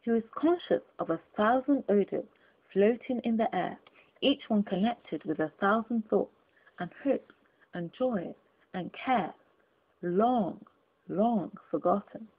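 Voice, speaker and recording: Husky woman reading a classic story. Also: mid-range voice, animated, takes it slowly, narrow, tinny audio. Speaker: audiobook reader. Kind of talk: scripted literary narration.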